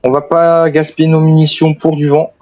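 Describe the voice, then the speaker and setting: A man's voice: a little high, flat delivery, normal speed, phone-quality sound, natural voice. A man playing an armed, wary but cooperative soldier survivor in an improvised zombie game roleplay, speaking formally and hesitantly. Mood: explaining, hesitant.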